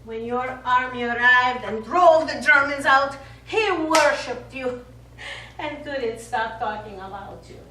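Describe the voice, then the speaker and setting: A distant, very reverberant stage recording of an actress playing a bitter, wounded older Italian woman. She speaks high and slowly, weighing her words as accusations in a theatrical drama. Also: sharp tone, a little hiss or hum, heavily acted. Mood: guarded, bitter. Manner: deliberate, accusing. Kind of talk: stage play acting. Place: small theatre studio, recorded from afar.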